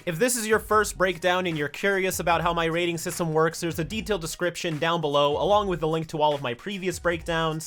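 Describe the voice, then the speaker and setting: A man with a slightly high voice hosts a scripted review. He talks casually and gives his opinions freely, with the odd mild swear. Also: fairly bright tone. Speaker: host of an animation review channel. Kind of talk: scripted video essay commentary.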